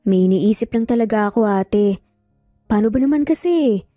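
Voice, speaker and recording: A woman's voice: mid-range voice, animated, normal speed, dark tone, no echo, clean studio sound, faint music behind, lightly acted. A woman voicing a preoccupied, bewildered female lawyer in an audio story, hesitant and pensive. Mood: pensive, disbelieving.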